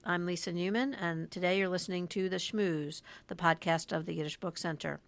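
A woman's voice: lowish voice, steady tone, fairly quick, gravelly. A woman hosting a podcast, moving between scripted introduction and conversational interview questions.

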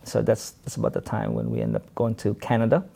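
Gravelly-voiced man from the Philippines. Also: fairly low pitch, sing-song, dark tone. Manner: halting, many fillers and restarts. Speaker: guest, self-defense instructor. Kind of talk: casual interview conversation.

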